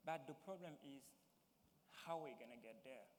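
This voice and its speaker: A young man from Mali with a Malian accent, giving halting personal testimony as a town hall panelist and searching for words in English. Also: medium pitch. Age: young adult. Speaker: youth panelist. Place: large hall. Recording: reverberant hall with faint noise.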